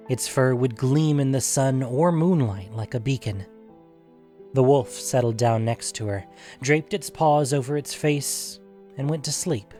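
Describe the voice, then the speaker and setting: Male narrator, mid-range voice, for audio fiction; deliberate, dramatic storytelling over a music bed. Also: medium pitch, a little mellow.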